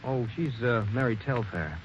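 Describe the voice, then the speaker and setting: An actor playing an earnest, smitten gentleman who narrates his own mystery in 1940s radio style. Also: mid-range voice, expressive, normal speed, noisy background, lightly acted. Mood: friendly, animated. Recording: dull old radio recording with music.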